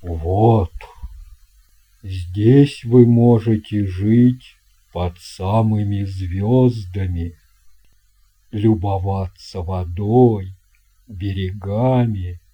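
A man voicing a big, fat, jovial ship's captain in an audio fairy tale, speaking in a grand, courteous, drawn-out way. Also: fairly low pitch, mellow, husky, voice pushed down, exaggerated voice. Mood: dreamy, generous.

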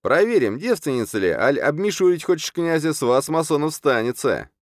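A man's voice: a little high, sing-song, fairly quick, dry recording, studio quality, voice pushed up. A man voicing a blunt, stern, suspicious peasant in a comic historical audiobook. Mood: stern suspicion.

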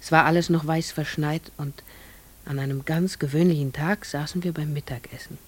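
Troubled, secretive farmer's wife in radio drama. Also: female voice, deep voice, steady tone, normal speed, slightly rough. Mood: confiding, hushed. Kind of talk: German radio play acting.

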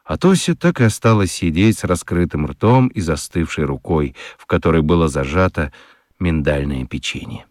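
A man's voice: very deep voice, animated, normal speed, darkish tone. A man narrating a children's radio play expressively from a script.

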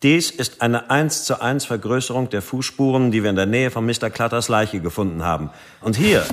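An actor playing a male police detective who confronts a suspect in a deliberate way. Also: mid-range voice, natural voice. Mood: confronting.

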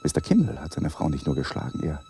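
Brooding young husband, widely swinging voice. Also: male voice, extremely high pitch, huge pitch swings, quick pace, mellow, full character voice. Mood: musing, obsessive. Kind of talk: radio play dialogue and inner monologue.

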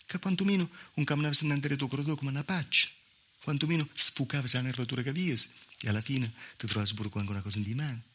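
Wry southern Italian village woman, bright-voiced. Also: mid-range voice, fast talker, husky. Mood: wry, plaintive. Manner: conversational, punctuated with rhetorical "eh". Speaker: stage actor. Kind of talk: theatrical dialect monologue, storytelling. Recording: live theatre recording with noise.

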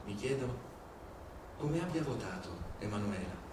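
Uomo che declama in una sala molto riverberante. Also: male voice, fairly low pitch, lively intonation, a little slow. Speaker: stage performer. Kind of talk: theatrical read monologue, civic oratory. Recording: very echoey, distant, clearly noisy.

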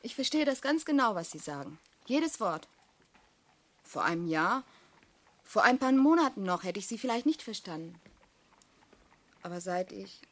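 A woman's voice: mid-range voice, big pitch swings, moderate pace, long pauses, a bit bright, no room sound. Earnest, confiding young working woman. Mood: eager, understanding. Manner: intimate, urgent, sincere. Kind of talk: German radio drama acting.

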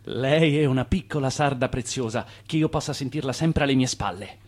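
A man's voice: medium pitch, brisk, lightly acted. An actor playing a self-assured, scheming businessman in an Italian radio play, curt and commanding. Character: self-assured, scheming male nouveau-riche businessman and boss.

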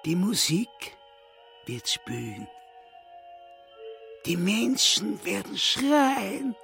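An actor playing an agitated, mocking and fervent man in a literary radio drama, with a theatrical, drawn-out delivery and a husky voice. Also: sits high, sing-song, pushed and bright, soft background music, exaggerated voice. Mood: fervent, rapt.

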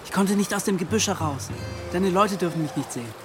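A man playing a furtive, devout and playful young man who coaxes softly in a German audio drama. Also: high voice, fairly quick, over music, lightly acted.

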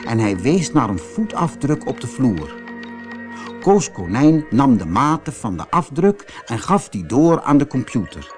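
Male audiobook narrator for kids' tales: expressive, highly varied intonation, light musical backing. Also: measured pace, soft background music. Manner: expressive, dramatised reading. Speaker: storyteller. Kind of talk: scripted children's audiobook narration.

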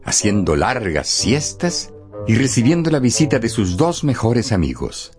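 A man's voice: medium pitch, very animated, measured pace. A man narrating a scripted radio drama in a theatrical, emphatic storytelling style. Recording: loud music bed under narration.

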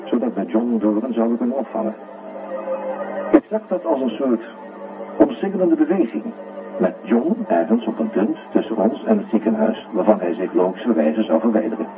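A man acts a grave, brooding doctor narrating in a radio play. His delivery is measured and reflective, with lively intonation. The sound is narrow and tinny, and music plays clearly behind him. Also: on the low side, close to an everyday voice. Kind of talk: dramatic first-person narration in radio drama.

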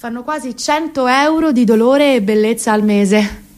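Roman woman around thirty doing satirical radio monologue, highish voice, very expressive, ironic and fed up. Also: normal speed. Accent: Roman Italian. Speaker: comedic monologue performer.

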